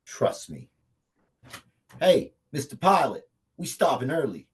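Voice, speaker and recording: A man in a script table read playing a brash, streetwise agent out for revenge, talking slangy and cocky with a husky voice. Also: on the high side, sing-song, takes it slowly, full character voice. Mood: cocky, wheedling. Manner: slangy, profane, stubborn, cocky. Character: brash, vengeful, hard-drinking lone agent, male, streetwise. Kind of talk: virtual table read of a comedy screenplay.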